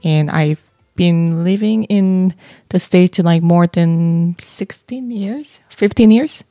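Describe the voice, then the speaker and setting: Slow, mellow Korean-accented woman. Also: lowish voice, no echo. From South Korea. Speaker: orchestral violinist, podcast guest. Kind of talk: casual self-introduction.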